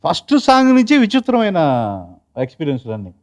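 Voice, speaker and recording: హెచ్చు స్వరంతో చాలా వేగంగా మాట్లాడే మగవ్యక్తి. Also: male voice, high voice, fast talker. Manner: animated storytelling, acts out quotes. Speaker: film lyricist and writer. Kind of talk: casual interview chat.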